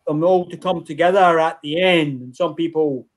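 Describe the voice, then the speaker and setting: A chatty man hosts a tasting over a video call, his voice sitting fairly high for a man. He talks in an easygoing, friendly way with quick asides, and the sound is plain laptop-call audio. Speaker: host of an online whisky tasting. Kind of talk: casual chat on a video call.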